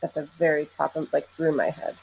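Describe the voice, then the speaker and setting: A woman's voice: low voice, little pitch movement, brisk, creaky, highs cut off, slight background noise. A young adult woman musician in casual conversation, talking loosely with many "like" fillers and easy laughter. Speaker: singer and musician.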